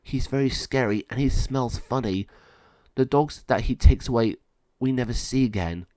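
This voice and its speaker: A man's voice: medium pitch, normal speed, warm tone, no room sound, lightly acted. A plain-spoken caged dog from a children's storybook, done by a man. He is matey and friendly, with a stubborn determination to get out. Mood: ominous.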